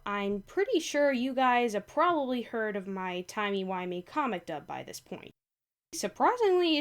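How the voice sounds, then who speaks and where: A woman speaking as narrator and host of a fan fiction reading. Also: on the high side. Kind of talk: story narration and casual outro chat.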